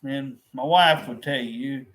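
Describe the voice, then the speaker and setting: A man's voice: mid-range voice, slow, a bit bright, a little hiss or hum. A middle-aged man venting to his viewers, frustrated and repetitive, often restarting his sentences. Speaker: vlogger. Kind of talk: rambling to-camera vent.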